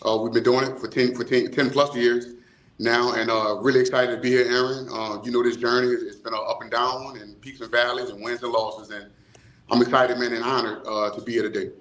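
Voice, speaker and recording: A man giving reflective interview answers, choosing formal words in measured, hesitant phrases. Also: medium pitch, little pitch movement, a bit bright. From New Orleans, USA. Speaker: nonprofit co-founder, interview guest. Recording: roomy, echoing voice.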